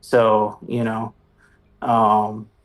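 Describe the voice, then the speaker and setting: A man's voice: lowish voice, completely flat delivery, drawn-out words. A man, a military veteran and songwriter, telling his story in a candid, rambling, self-deprecating way as an interview guest.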